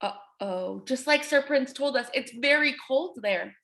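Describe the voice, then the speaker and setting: A woman reading aloud to young children as their teacher, encouraging and exaggerated, pausing to ask questions. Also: sits high, animated, creaky, fairly bright tone.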